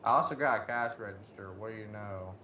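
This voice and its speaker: A man's voice: on the low side, quick pace, bright, forceful tone. A man playing a video game, chatting casually in slang and swearing, blurting out reactions to what he finds. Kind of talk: casual co-op gameplay chat. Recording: muffled lo-fi mic, background noise.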